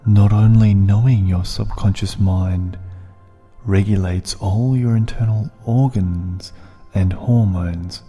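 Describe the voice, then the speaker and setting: Slow, low, husky man guiding meditation. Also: steady tone, warm tone, dull audio. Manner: soothing, deliberate, with long pauses between short phrases. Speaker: guided meditation narrator. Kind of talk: hypnotic guided meditation. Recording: long reverb, music bed underneath. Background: music.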